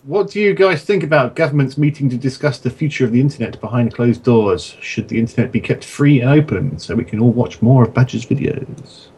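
A man's voice: medium pitch, expressive, a little fast, hardly any pauses, a little mellow, husky. A man in his thirties bantering casually as a co-host on a group podcast. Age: 30s.

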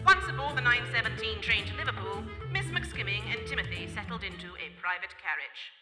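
A woman's voice: mid-range voice, big pitch swings, fairly quick. A woman giving scripted narration for a radio play. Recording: echoey hall, music behind.

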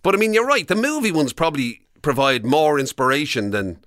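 A man's voice: fairly high pitch, lively intonation, brisk, fairly bright tone, dry recording, clean studio sound. An Irish man co-hosting a radio show in casual, bantering conversation.

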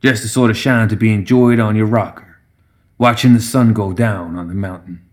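A man voicing an ancient gremlin brewmaster, a proud, gruff moonshiner with a slow drawl in a fantasy storybook. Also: lowish voice, steady tone, a little mellow, natural voice. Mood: folksy pride.

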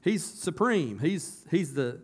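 A hoarse man, a pastor talking to his congregation in a casual, folksy way that turns emphatic. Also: a little high, sing-song, slow. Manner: folksy, conversational, rising to emphatic. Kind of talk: casual church announcements and sermon. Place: church sanctuary.

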